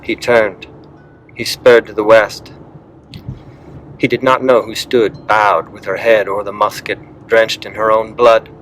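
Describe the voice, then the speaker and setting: A man's voice: on the low side, level tone, unhurried, fairly bright tone, a little hiss or hum, low-quality recording. A man giving an understated reading of a poem. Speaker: poem reader.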